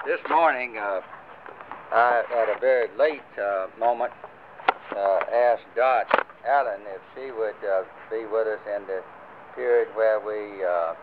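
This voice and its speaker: An older man leading a church group, talking casually with many pauses and fillers. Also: fairly low pitch. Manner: rambling, many fillers and restarts. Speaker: church class leader. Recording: lo-fi phone-like recording with faint hiss.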